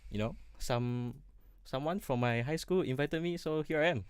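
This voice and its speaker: A man's voice: fairly high pitch, moderate pace, clean tone, no echo. A man, a guest on a student radio show, talking in a dry, playful, teasing way. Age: 20s. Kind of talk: casual radio interview. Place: radio studio.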